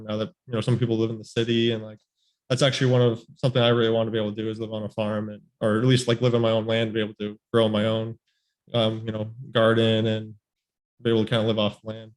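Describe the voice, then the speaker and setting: A man hosting a podcast interview, talking in a rambling way full of "you know" and "like" fillers. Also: medium pitch. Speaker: podcast host. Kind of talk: casual interview conversation.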